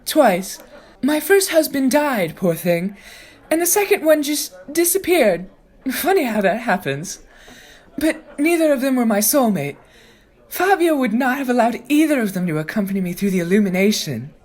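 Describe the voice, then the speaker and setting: Woman acting a dreamy, husky island romantic, lively. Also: fairly high pitch, moderate pace. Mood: breezy. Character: dreamy, smitten, twice-married mature island woman. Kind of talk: radio play acting.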